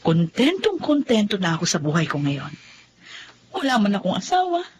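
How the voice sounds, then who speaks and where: A woman's voice: mid-range voice, wildly swooping pitch, normal speed, a little mellow, slight background noise. An actress playing a devoted, emotionally fragile mother in a melodramatic Filipino radio drama. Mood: contented, grateful. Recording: music behind the voice.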